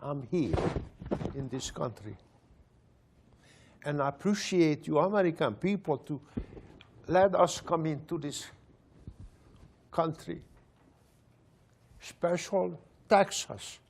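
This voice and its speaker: An older Hungarian man speaks in slow, broken English with a strong Hungarian accent. He pauses often and laughs between his phrases. His voice sits a little high for a man. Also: animated, vocal fry, darkish tone. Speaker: panelist. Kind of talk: casual storytelling.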